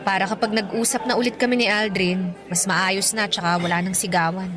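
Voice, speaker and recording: A woman's voice: mid-range voice, fairly even intonation, fairly quick, crowd noise, close to an everyday voice. An actress playing a conflicted, clingy young woman in a radio drama, speaking in a plaintive, conversational way. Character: clingy, conflicted young woman venting about her boyfriend. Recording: music bed behind.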